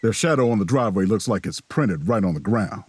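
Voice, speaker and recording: A man acting as a calm, folksy traveler who narrates an eerie road trip in an audio drama, with a husky, measured delivery. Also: on the low side, no echo. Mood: uneasy, narrating.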